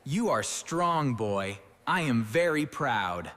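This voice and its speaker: A woman doing a gentle cartoon father, his voice pushed far down and soothing, speaking in short, simple sentences. His pitch swings freely, and a long reverb hangs over the voice while soft music plays underneath. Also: lively intonation, slow pace, heavily acted.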